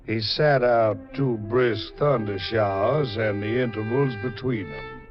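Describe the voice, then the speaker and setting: A man's voice: lowish voice, animated, unhurried, over music. A man with an American accent giving intense, theatrical scripted narration in a 1940s radio drama style. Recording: dull old 1940s radio recording.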